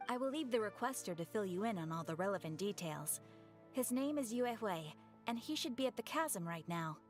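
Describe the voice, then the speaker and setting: A woman's voice: mid-range voice, animated, fairly quick, faint music behind, natural voice. A woman voicing a polite, composed young receptionist at a guild in an anime game, courteous and formal. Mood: businesslike.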